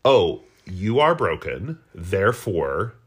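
Low-voiced man losing his voice. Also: very animated, slow pace, husky. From Utah. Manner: candid, profane, storytelling. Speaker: podcast co-host. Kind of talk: casual chat.

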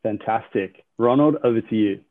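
A man's voice: lowish voice, normal speed. A man hosting a game-style podcast, upbeat as he explains the rules and asks his guests questions. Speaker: podcast host. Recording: tinny phone-like line.

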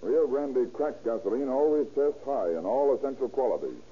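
An American actor playing an assured, matter-of-fact chemist in a scripted 1930s radio advertisement. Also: male voice, mid-range voice, lively intonation, normal speed, slightly rough. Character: assured male police chemist endorsing a product. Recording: dull, lo-fi 1930s radio recording.

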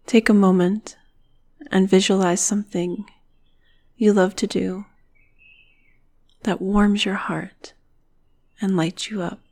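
A woman guiding a meditation in a soothing, breathy manner, with phrases often trailing off into a whisper. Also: mid-range voice, flat delivery, slow, long pauses, darkish tone. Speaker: meditation guide. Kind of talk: guided meditation narration.

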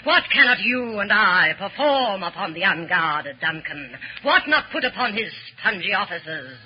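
A woman's voice: medium pitch, sing-song, normal speed, breathy edge. An actress playing a ruthless, ambitious noblewoman in theatrical 1940s radio Shakespeare, intense and commanding. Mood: scheming, commanding. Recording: dull lo-fi 1940s radio recording.

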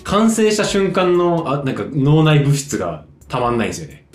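A man co-hosting a casual chat about acting, agreeable and quick to respond to his partner. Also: fairly high pitch, huge pitch swings, echoey room. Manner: agreeable, frequent backchannel responses. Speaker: co-host of a talk show.

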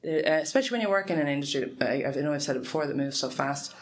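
A woman's voice: deep voice, animated, racing, a little hiss or hum. A woman in her forties answering interview questions earnestly, often laughing and restarting her sentences. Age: 40s. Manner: earnest, frequent laughs, self-correcting. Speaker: guest, tech support lead.

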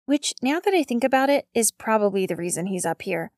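A woman's voice: a little high, animated, a little fast, a little mellow, dry recording, studio quality. A woman voicing a teenage girl ghost in an audiobook, casual and hesitant, polite but sardonic. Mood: worried.

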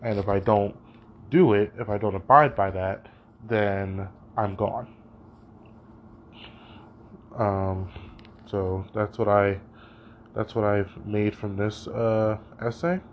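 Young man, student reflection; slow, halting, filler-heavy delivery, low-ish voice, dull recording. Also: steady tone, a little hiss or hum. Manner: hesitant, thinking aloud, says "you know" a lot. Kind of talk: spoken reading reflection.

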